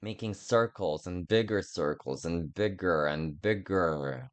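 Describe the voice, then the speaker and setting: A man's voice: sits low, unhurried. A man giving casual video game commentary, thinking aloud in a rambling, self-mocking way over game sounds.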